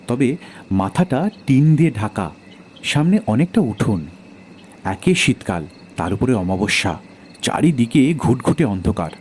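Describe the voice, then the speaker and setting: A man's voice: mid-range voice, darkish tone, slight background noise. A man narrating a first-person horror audio story in a dramatic storytelling style.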